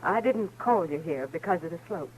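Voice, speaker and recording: A woman plays a resolute frontier mother in an old radio western. She has a rural American accent and a folksy, earnest, slightly halting delivery, weary yet dignified. The vintage recording has some hum and noise. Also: lowish voice, expressive, close to an everyday voice, low-quality recording. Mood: solemn, resolute. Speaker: radio actor.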